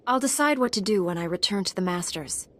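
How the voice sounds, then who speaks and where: An actress playing a composed, frank young Jedi apprentice in a video game. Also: female voice, mid-range voice, animated, a little fast, lightly acted. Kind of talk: scripted video game acting.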